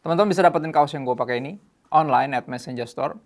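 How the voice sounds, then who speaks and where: Creaky-voiced Indonesian man hosting. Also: fairly high pitch, fast talker. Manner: mixes English phrases into casual speech. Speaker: host. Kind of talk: casual youth talk show presenting.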